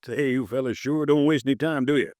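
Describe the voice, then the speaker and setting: A man's voice: medium pitch, brisk, darkish tone, dry recording, studio quality, lightly acted. An actor playing a stocky, red-faced insurance agent in his late fifties, chatty, folksy and easily riled, in a 1950s radio drama. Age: 50s. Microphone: close studio mic, dry.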